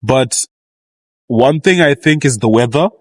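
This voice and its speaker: A young man from Zimbabwe answering a street interview in a thoughtful, measured way. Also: medium pitch, dry recording. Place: outdoors on a street.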